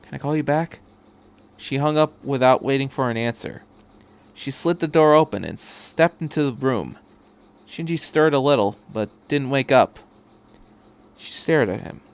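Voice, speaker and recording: A man's voice: medium pitch, normal speed, clear, resonant tone. A man reading a story aloud as a podcast narrator, in scripted third-person prose.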